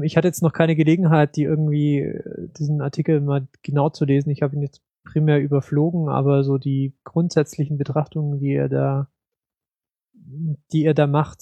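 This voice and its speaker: Podcast guy, gravelly dark voice, super flat delivery, lots of umms. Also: fairly high pitch, no echo. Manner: hesitant, many fillers and restarts. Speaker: podcast co-host. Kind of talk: casual podcast chat.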